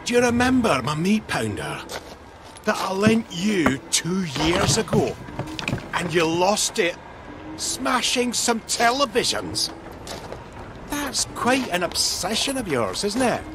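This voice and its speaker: An actor playing a friendly, sly, haggling army cook in a video game. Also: male voice, high-pitched, wildly swooping pitch, slow, full character voice. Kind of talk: video game character acting. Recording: noisy vehicle ambience behind.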